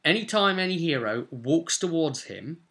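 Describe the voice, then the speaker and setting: Bright-voiced young British man, chatty and emphatic. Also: on the high side, expressive, moderate pace. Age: young adult. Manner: chatty, emphatic, gesturing storyteller. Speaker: vlogger and game master recounting a session. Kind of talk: casual recap to camera. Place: small indoor home room, seated at a desk.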